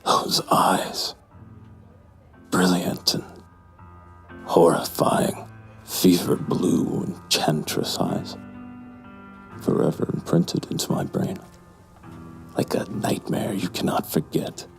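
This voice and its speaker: An actor playing a hard-drinking, lustful barfly in a noir audio drama, with a slow, breathy, brooding delivery. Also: male voice, sits low, flat delivery, heavily acted. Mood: brooding, infatuated. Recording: music bed behind the voice.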